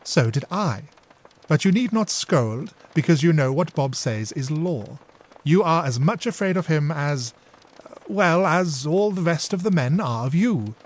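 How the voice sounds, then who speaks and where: A man's voice: a little high, animated, measured pace, voice pushed up. A man voicing an impetuous, playful younger sister who talks eagerly and coaxingly.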